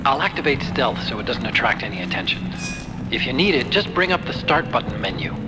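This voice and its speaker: A man plays a friendly young engineer in a video game, eager to explain his gadgets. His voice sits at an ordinary mid pitch and stays upbeat, with a loud musical score running underneath. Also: very animated, brisk, loud music behind the voice, close to an everyday voice. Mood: helpful.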